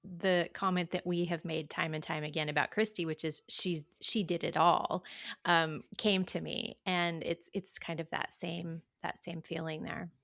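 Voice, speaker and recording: Woman podcaster, bit low and gravelly w vocal fry, casual book talk, kinda reflective. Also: little pitch movement, unhurried, a bit bright, no echo, studio quality. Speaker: podcast co-host.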